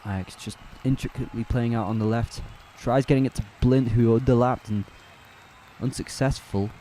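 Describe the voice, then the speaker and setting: A man calling a football match live on a fan watch-along stream, chatty and relaxed, correcting himself as he goes and answering viewers' chat. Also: mid-range voice, normal speed, slight background noise. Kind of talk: casual live play-by-play commentary.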